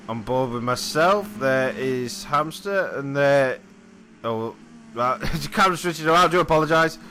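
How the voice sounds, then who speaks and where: A man with a hoarse, croaky voice commentating a replay of his own sim race, chatty and often apologising and correcting himself. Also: on the high side, pushed and bright, slight background noise. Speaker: sim racing commentator and driver.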